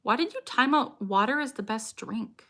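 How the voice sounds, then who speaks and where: A young woman hosts a casual live Q&A, reading out questions and chatting in an upbeat way. Her voice is bright and pitched a little high. She laughs often, and sudden vocal tics cut into her sentences. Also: lively intonation, normal speed. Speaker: livestreamer answering chat questions. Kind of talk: casual livestream Q&A chat.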